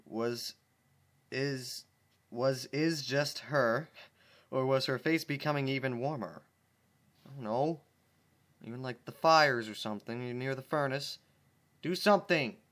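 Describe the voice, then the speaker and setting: A man reads a story aloud from his bedroom, his voice sitting at an ordinary, middling pitch. He keeps interrupting the text with sarcastic, exasperated remarks. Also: measured pace, pauses often, a bit bright. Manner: sarcastic, exasperated running commentary. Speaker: channel host reading fan fiction aloud. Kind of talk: dramatic reading with comic asides.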